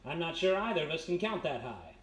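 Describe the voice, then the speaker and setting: A highish, animated male voice in an echoey room, a man playing a private eye in a vintage radio mystery. He is wry and smooth-talking, an easygoing flirt, recorded live with faint noise. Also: fairly quick. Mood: flirtatious. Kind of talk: live 1940s-style radio mystery acting.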